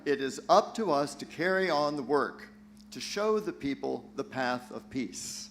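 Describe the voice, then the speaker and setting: A man's voice: mid-range voice, animated, unhurried, creaky, fairly bright tone, slight background noise. A man playing an earnest, admonishing peacemaker disciple in a dramatic reading for a church play. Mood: earnest, resolute.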